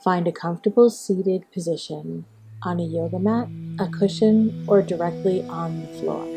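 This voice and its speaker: A woman leading a guided meditation as an instructor, speaking in a measured, soothing way with long pauses. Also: on the low side, fairly even intonation, creaky, warm tone. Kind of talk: slow guided meditation narration. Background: music and birdsong.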